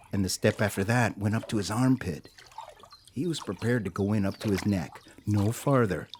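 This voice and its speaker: A man narrating a scripted audio drama as a storyteller, with a measured, wry, theatrical delivery. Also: on the low side, a little mellow, a little hiss or hum, lightly acted.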